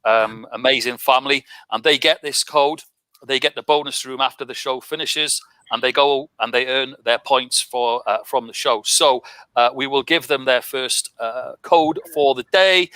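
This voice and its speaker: A man hosting a casual show, relaxed, with frequent "uh" and "um". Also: medium pitch, normal speed, clear, resonant tone, no room sound. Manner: relaxed, filler "uh" and "um". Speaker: host.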